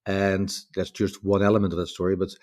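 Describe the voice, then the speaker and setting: A man's voice: deep voice, little pitch movement, fairly quick, a little mellow. A Dutch man speaking English as a guest author, talking over a video call in a rambling, reflective way with many restarts. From Netherlands. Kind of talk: casual conversation.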